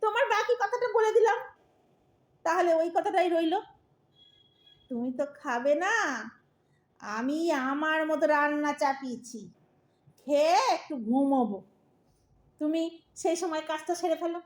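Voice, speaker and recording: An exasperated old wife played by a woman in a comic audio play. Her voice is very high, with a scolding, sarcastic edge and a mock-sweet way of needling her husband. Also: expressive, unhurried, pauses often. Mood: coolly sarcastic. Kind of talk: comic Bengali audio drama acting.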